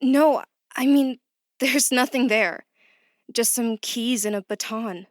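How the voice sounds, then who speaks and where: An actress playing a bewildered, reluctant new office worker in an audio drama, hesitant and a little indignant. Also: female voice, a little high, takes it slowly, dry recording, studio quality. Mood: flustered. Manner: hesitant, flustered, wryly indignant. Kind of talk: naturalistic audio drama acting.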